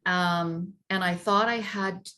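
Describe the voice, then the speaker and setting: A woman speaks as a panelist on a video call with a somewhat low voice. She delivers emphatic, encouraging advice. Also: steady tone, slow pace, bright, forceful tone. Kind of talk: panel discussion.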